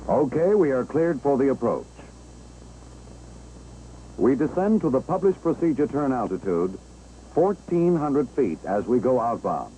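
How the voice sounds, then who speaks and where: An American man narrates an old 1960s training film with a mellow, relaxed tone. His pitch moves in a lively way as he explains each step in a measured manner. An engine-like noise sits faintly behind him on the aged soundtrack. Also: poor audio.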